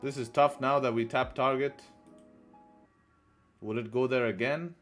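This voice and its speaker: A young man from Dubai giving casual, relaxed commentary on a live trading stream, repeating phrases and calling viewers bro and man, into a headset in a small room. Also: medium pitch, a little slow, soft background music.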